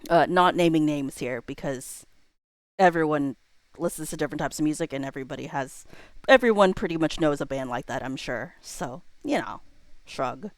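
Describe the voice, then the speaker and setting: A woman's voice: low voice, animated, moderate pace, no echo. A woman, perhaps in her thirties, chatting casually on a livestream in a rambling, self-deprecating way with informal slang.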